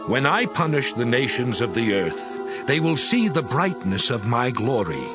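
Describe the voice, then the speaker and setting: The Lord God in a scripture audio drama. The voice is stern and solemn, declaimed in measured phrases with wide swings in pitch. Prominent music plays underneath. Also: male voice. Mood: stern, condemning. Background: music behind the voice.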